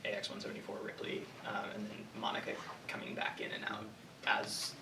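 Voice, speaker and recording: A young man answering questions informally as a writer, haltingly thinking aloud and hedging as he goes. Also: lowish voice, lively intonation. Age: young adult. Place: indoor room with an audience. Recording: echoey room from far off, clear background noise.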